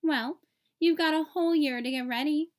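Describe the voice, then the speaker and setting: A woman's voice: sits high, normal speed, darkish tone, pitched above the performer's own voice, lightly acted. A woman voicing a caring, busy mother turtle in a children's storybook, motherly and prompting. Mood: interested.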